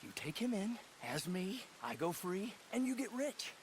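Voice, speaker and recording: A man's voice: high-pitched, very animated, moderate pace, a little hiss or hum. A man playing a slippery, wheedling outlaw who bargains and pleads in a video game western. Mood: desperate pleading. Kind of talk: video game cutscene acting.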